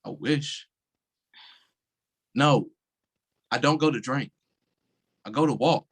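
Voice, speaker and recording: An actor playing a withdrawn, bitter police officer in a staged play reading, giving short, clipped replies. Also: male voice, mid-range voice, slow, fairly bright tone, husky, exaggerated voice. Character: weary, guarded police officer, curt and bitter.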